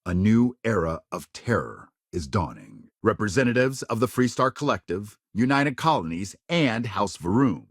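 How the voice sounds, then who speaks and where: An actor playing a grave, polished sci-fi news anchor in a video game, reading scripted bulletins with authoritative, dramatic emphasis. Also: male voice, on the low side, big pitch swings, moderate pace, few pauses, slightly rough, no room sound, clean studio sound. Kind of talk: scripted broadcast news delivery.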